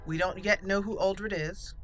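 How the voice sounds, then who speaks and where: A woman hosting a roleplay game playthrough, commenting and reading aloud deliberately as she thinks. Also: mid-range voice, fairly even intonation. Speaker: let's play host. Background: game music.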